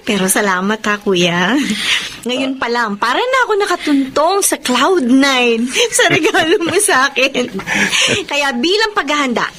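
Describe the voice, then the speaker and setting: An actress playing a playful, grateful college-age younger sister in a Filipino radio drama. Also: female voice, high voice, sing-song, measured pace, a bit bright, slight background noise, full character voice. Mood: gushing gratitude. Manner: gushing, playful.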